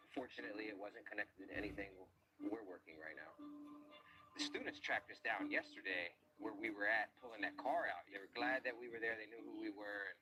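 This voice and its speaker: A man leading a volunteer dive team, explaining the search on location in a matter-of-fact, methodical way outdoors. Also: on the low side. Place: outdoors at ponds and roads. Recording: noisy outdoor location audio.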